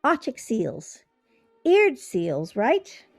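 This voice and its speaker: A breezy, innocent friend in a radio play. Her fairly high voice is soft-edged and mellow, and she takes her time over the words. The sound is dry with faint music. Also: wildly swooping pitch, extremely slow, husky, dry recording, full character voice. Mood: loyal, firm. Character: airy, loyal, easily distracted female friend at game.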